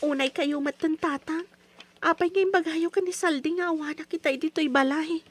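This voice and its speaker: An actress with an Ilocano accent playing an earnest, stubborn young daughter in an old-style radio drama, plaintive and protesting. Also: female voice, sits high, fairly even intonation, normal speed, over music, close to an everyday voice. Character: earnest young daughter, stubborn, pleading, simple farm girl.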